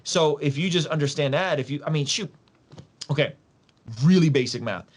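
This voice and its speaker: A man's voice: fairly high pitch, moderate pace. Emphatic man explaining casually in slangy talk. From Soviet Russia. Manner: emphatic, slangy, repeats phrases and poses rhetorical questions. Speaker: podcast guest.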